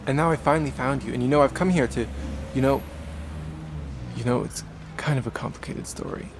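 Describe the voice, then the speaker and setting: A man playing a polite, confused newcomer in an audio drama, speaking naturally and haltingly. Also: mid-range voice, lightly acted. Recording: clear urban and traffic noise.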